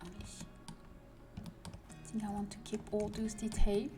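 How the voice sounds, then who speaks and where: A woman's voice: medium pitch, monotone, unhurried. A woman from France with a French accent, chatting casually on a livestream while she works, often muttering to herself or dropping to a whisper. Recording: distant mic with music behind.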